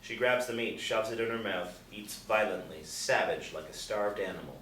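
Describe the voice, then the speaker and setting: A man's voice: lowish voice, moderate pace, a bit bright. A man reading stage directions as scripted narration at a table reading. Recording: roomy, distant mic, faint noise.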